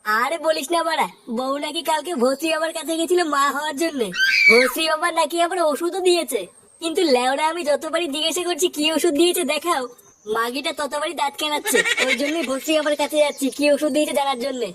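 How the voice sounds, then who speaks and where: An actor playing a hot-tempered cartoon husband with a very high, processed voice. He blusters and swears indignantly through heavy background noise. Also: quick pace, natural voice. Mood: irritated. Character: hot-tempered, foul-mouthed drunkard husband and club boss. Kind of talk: comic cartoon dubbing. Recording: very noisy track.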